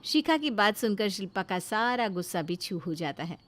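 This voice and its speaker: A woman's voice: mid-range voice, expressive, moderate pace, very noisy. A woman narrating a scripted moral story as a storyteller.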